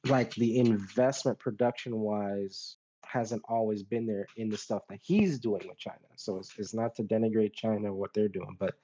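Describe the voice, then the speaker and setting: A man's voice: fairly low pitch, steady tone, moderate pace, hardly any pauses, warm tone. A man chatting casually as a podcast panelist, giving opinions in a rambling way and qualifying his points as he goes. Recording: dull lo-fi mic.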